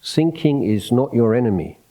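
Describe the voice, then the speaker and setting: A soft-spoken man, a Buddhist monk, giving an unscripted dhamma talk in a hall, reflective and hesitant, restarting his phrases often. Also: mid-range voice, lively intonation, moderate pace. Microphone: microphone in a hall.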